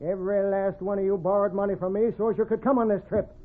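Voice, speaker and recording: A man's voice: sits high, little pitch movement, fast. A man playing a domineering, greedy wagon boss with folksy Western speech in a 1940s radio western. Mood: hard, scheming. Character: bullying, greedy male wagon boss after gold. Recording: tinny, band-limited old radio recording.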